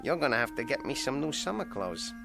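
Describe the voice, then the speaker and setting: A man's voice: mid-range voice, big pitch swings, a little fast, vocal fry. A ventriloquist's wooden dummy with a sour temper. He is sardonic, slangy and bossy, tossing out wisecracks as a radio play character, with music underneath. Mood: grumbling. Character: surly, wisecracking, domineering male ventriloquist's dummy. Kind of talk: radio drama acting.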